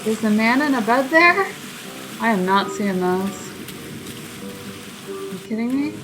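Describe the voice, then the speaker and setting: A woman's voice: on the high side, expressive, measured pace, low-quality recording. A woman hosting a gaming channel, giving casual, playful and exclamatory commentary as she plays, with game music behind her.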